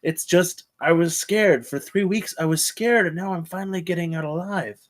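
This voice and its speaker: A man plays a stranded space scientist in a sci-fi table read. The voice sits fairly high for a man and comes across as chatty and nervous. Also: moderate pace, lightly acted. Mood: relieved. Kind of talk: screenplay table read acting.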